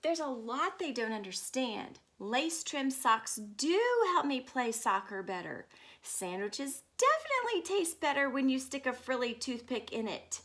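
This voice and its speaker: A woman's voice: high voice, sing-song, unhurried, fairly bright tone, exaggerated voice. A woman voicing an enthusiastic little girl from a storybook who loves all things fancy, speaking dramatically and explaining grand words. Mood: eager, proud.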